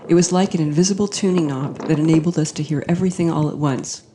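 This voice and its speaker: A woman's voice: low-pitched, fairly even intonation, fast, warm tone. A woman reading descriptive scripted narration. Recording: faint background noise.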